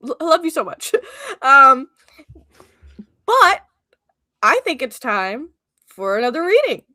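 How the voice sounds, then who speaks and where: A woman's voice: high-pitched, sing-song, slow, bright, forceful tone, no room sound. Chatty woman cohosting a livestream, dry sound. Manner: rambling and chatty, full of fillers and laughs. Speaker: livestream co-host. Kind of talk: casual livestream chat. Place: at home.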